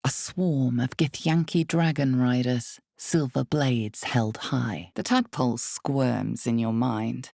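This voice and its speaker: Female game narrator: omniscient, ominous, dark mellow tone with heavy fry, measured dramatic delivery, very dry close sound. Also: no room sound, heavily acted. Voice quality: creaky, low. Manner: slow, deliberate, dramatic storytelling. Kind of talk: scripted second-person dark fantasy narration.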